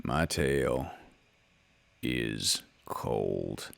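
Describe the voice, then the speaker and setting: A man voicing a gloomy old male donkey who grumbles slowly and mournfully. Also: very deep voice, animated, gravelly, a bit bright, pitched below the performer's own voice, heavily acted.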